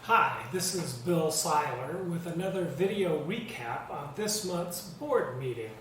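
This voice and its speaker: Man, slightly high voice, scripted report, orderly and matter-of-fact, heavy room echo. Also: lively intonation, measured pace, a bit bright. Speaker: church board member giving a meeting recap. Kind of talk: scripted informal video report. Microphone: distant microphone. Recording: very echoey room, distant mic, faint noise.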